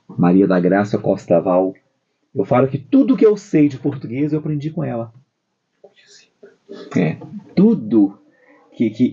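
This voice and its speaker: Man, guest on a talk show, dark mellow voice, slightly husky, halting speech, lo-fi sound. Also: mid-range voice. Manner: halting, repeats words while recalling. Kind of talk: casual conversation. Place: spiritist center.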